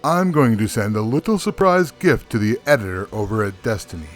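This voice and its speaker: A man's voice: lowish voice, animated, a little fast, music in the background, lightly acted. A man playing a weary, indignant Santa Claus, theatrical and grumbling, in an audio drama. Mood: sly. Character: weary, indignant Santa Claus, big jolly boss turning rebel.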